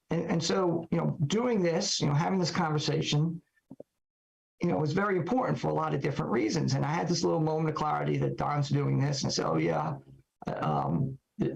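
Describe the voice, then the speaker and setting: A middle-aged man, hoarse while his voice recovers, talking reflectively on a podcast in a halting way full of fillers and questions to himself. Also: a little high. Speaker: trial lawyer podcast guest. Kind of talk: reflective solo talk. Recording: dull lo-fi podcast audio.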